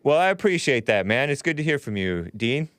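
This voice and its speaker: A man's voice: medium pitch, sing-song, a bit bright, no room sound, studio quality. A man hosting a call-in show, talking casually with callers and laughing easily. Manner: laid-back, laughs often, quick sign-offs.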